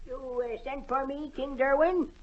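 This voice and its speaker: A woman's voice: sits high, steady tone, unhurried. A woman playing a haughty, fussy male royal hat maker, pompous and sputtering, in 1940s radio acting style. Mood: timid. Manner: pompous, self-important, sputtering. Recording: dull vintage 1940 radio recording.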